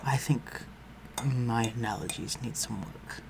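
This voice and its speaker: A man playing an aristocratic vampire master in a scripted audio roleplay, speaking formally and with measured, condescending concern for the listener. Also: medium pitch, vocal fry, background noise. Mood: reassuring, protective. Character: aristocratic male vampire master, civil, protective, coolly superior.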